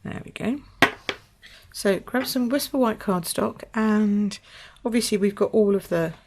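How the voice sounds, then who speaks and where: Slightly croaky British crafter thinking aloud. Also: female voice, medium pitch, unhurried, very noisy. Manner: chatty, thinks aloud with fillers and small apologies. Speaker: papercraft demonstrator. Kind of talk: casual craft tutorial. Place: indoors at a craft table.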